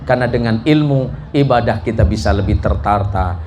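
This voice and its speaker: A man's voice: on the low side, normal speed, darkish tone. A man preaching a sermon to a congregation in a jocular, folksy way, often putting questions to his listeners. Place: mosque gathering before a live congregation. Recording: live talk with clear background noise.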